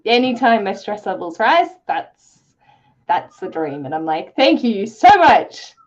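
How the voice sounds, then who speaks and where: A woman co-hosting a livestream, chatting casually in an emphatic, expressive way. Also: mid-range voice, measured pace, lo-fi sound. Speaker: co-host of a live reading sprint stream.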